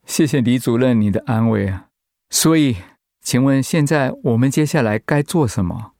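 A man's voice: mid-range voice, moderate pace, a little mellow, no room sound, clean studio sound, close to an everyday voice. A man acting in a radio drama as a humble, anguished pastor and devoted husband, speaking in a heartfelt, sighing way. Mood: resigned, grateful.

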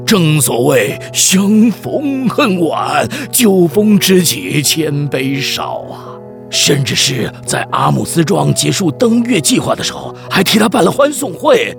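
Children's radio drama village chief: Taiwanese accent, chatty teasing storyteller, highish and very animated, over a music bed. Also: male voice, a little slow, gravelly, exaggerated voice. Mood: dramatic storytelling. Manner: theatrical, teasing, stammering at times. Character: jolly, chatty village chief telling tall tales.

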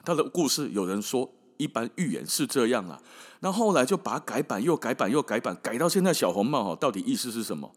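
A man's voice: mid-range voice, sing-song, fast. A man with a Taiwanese Mandarin accent telling stories as a podcast host in a casual, colloquial, wry and emphatic way, often tossing in rhetorical questions. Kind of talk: casual storytelling monologue.

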